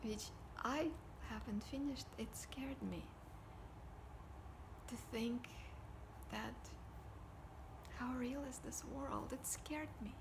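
A woman, talking casually to camera at home in a soft, often whispery voice. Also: a little high, takes it slowly, long pauses, noisy background. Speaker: vlogger. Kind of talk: casual personal talk to camera. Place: living room at home, indoors. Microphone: device camera at desk distance.

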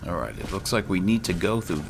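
A man's voice: deep voice, very animated, fairly quick, gravelly, noisy background. A man doing casual let's-play commentary, muttering as he thinks aloud. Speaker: gaming channel host.

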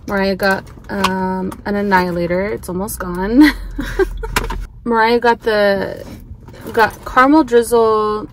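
A young woman in her twenties talks in an easy, chatty way, her sentences trailing off as she sits in a car. She is filmed by a dashboard camera, and steady road and engine noise sits clearly behind her voice. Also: medium pitch, takes it slowly. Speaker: vlogger. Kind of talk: casual vlog chat. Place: inside a parked car.

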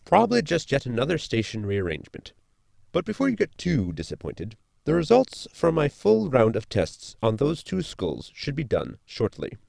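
An actor playing an articulate, bookish science officer in a sci-fi comedy audio drama, speaking formally and precisely. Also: male voice, medium pitch, huge pitch swings, moderate pace, creaky, darkish tone, no room sound, clean studio sound, heavily acted. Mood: dry, polite. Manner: formal, precise, long-winded.

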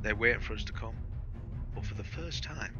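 A man's voice: lowish voice, a little fast, lo-fi sound. A man telling informal, sweary war stories over game voice chat in a dramatic, theatrical way. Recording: game voice chat, music behind.

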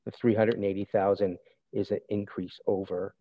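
A man's voice: lowish voice, level tone, moderate pace, no echo. A man, a city director, answering council questions formally through the mayor. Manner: formal, addresses the mayor first. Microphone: video call. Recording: dry phone-like line.

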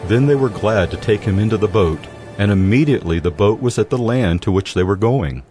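A man's voice: fairly low pitch, expressive, brisk, dark tone. A man reading scripture as the narrator of a dramatized production. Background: music.

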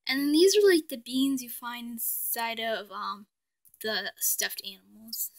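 A woman casually showing her doll house at home, chatty with lots of fillers, sometimes sinking to a whisper, recorded on a handheld phone. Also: high voice. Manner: chatty, many "like" fillers, drops to soft whispers at times. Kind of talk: casual show-and-tell narration. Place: indoors at home, near the dollhouse.

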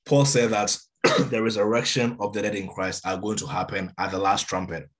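Man, deep low voice, emphatic preacher, webcam video call. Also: steady tone, moderate pace. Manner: emphatic, gestures while teaching. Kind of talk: online Bible teaching. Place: room with a window, indoors. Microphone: laptop webcam on a video call.

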